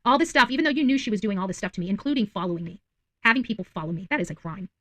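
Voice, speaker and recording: A woman's voice: medium pitch, expressive, rapid-fire, pushed and bright. A woman giving unscripted online commentary to viewers, emphatic and indignant, repeating phrases for stress. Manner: indignant, accusatory. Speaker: self-described independent journalist and online commentator.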